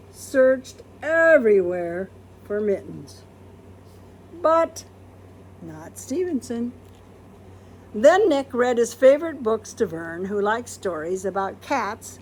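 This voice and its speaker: A woman's voice: fairly high pitch, darkish tone. A woman reading a children's picture book aloud expressively, acting out quoted words and putting questions to listeners. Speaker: storybook reader.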